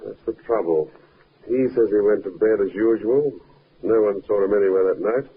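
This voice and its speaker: An actor plays a calm police inspector in a vintage radio drama. His voice is low and measured, probing shrewdly without fuss. It comes through a thin, narrow old recording with faint hiss. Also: natural voice. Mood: calm, polite. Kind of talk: 1950s radio drama acting. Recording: narrow-band, hissy 1950s radio recording.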